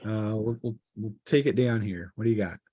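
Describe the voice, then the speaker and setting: A man narrating a tabletop roleplaying game as game master, in a casual, halting way as he thinks aloud. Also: on the low side, highs cut off, very noisy.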